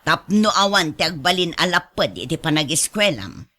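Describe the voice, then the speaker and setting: A woman's voice: low-pitched, very animated, moderate pace, pushed and bright, heavily acted. A woman playing a caring middle-aged mother with an Ilocano accent in a radio drama, coaxing and giving firm advice.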